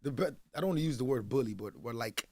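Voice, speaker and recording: A man's voice: medium pitch, lively intonation, fast, mellow, no echo. A man from Nigeria, a guest musician chatting loosely in an interview, leaning on "you know what I'm saying.". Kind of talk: casual interview chat. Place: radio studio. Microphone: studio microphone.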